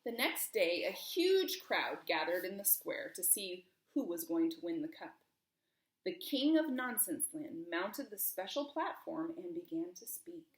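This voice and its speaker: A woman's voice: lowish voice, sing-song, a little slow. A woman reading a children's storybook aloud expressively, with emphasis and questions put to young listeners. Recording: roomy, echoing room.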